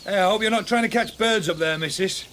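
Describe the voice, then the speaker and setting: An actor playing a friendly, slightly awkward village man who is kind to children, in a radio drama, with a hesitant, informal husky voice. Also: high voice, fast talker, a bit bright, slight background noise. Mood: kindly.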